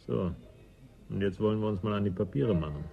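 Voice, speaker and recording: A man's voice: fairly low pitch, fairly quick, creaky. An actor playing a suspicious man in a radio play, speaking in a dry, brusque, sardonic way. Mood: dryly sardonic. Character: sardonic, suspicious man inspecting a stranger's papers. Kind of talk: German radio play acting. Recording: dull band-limited radio recording. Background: faint music.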